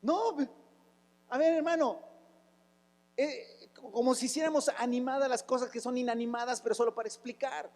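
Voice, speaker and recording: A man's voice: very high-pitched, fairly even intonation, normal speed, a little mellow, long reverb tail. A man, preaching a fervent sermon as a pastor, asking rhetorical questions and breaking into sudden exclamations. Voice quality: raspy, rough voice.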